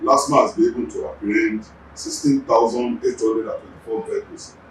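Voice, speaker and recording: A Nigerian man whose first language is Yoruba speaks in a deliberate official tone at a middling pitch, repeating words as he finds his footing. His voice sits well back from the mic and rings around a very echoey room. A faint background hiss runs under it. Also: wildly swooping pitch, far from the mic. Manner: deliberate, repeats words while gathering thoughts. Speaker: traffic agency general manager. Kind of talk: measured official interview answers.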